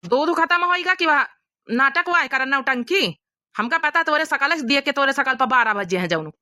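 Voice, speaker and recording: Grumbling old village grandfather voiced by a woman, high-pitched. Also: animated, moderate pace, bright, forceful tone, no room sound, studio quality. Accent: Awadhi. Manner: grumbling, colloquial. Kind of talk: Awadhi cartoon comedy voice acting.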